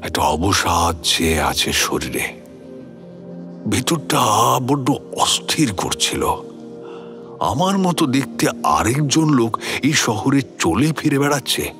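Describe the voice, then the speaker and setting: A man playing an introspective, philosophical older gentleman who recounts his uneasy past in a radio drama. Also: medium pitch. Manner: musing, expressive, rhetorical. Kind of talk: dramatic first-person storytelling in a radio play. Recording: music bed behind the voice.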